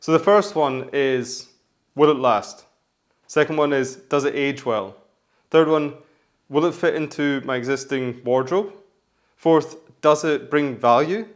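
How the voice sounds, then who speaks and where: A man hosting a menswear vlog, talking casually and rambling with many restarts, his voice hoarse from the flu. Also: on the high side, unhurried. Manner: rambling, chatty, frequent restarts. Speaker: menswear vlogger.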